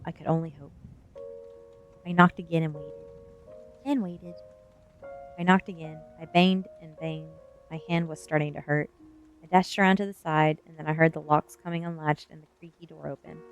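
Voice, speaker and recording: A woman with a low-pitched voice narrates a first-person story as an ASMR reading. Quiet music plays behind her. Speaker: streamer reading a novel aloud.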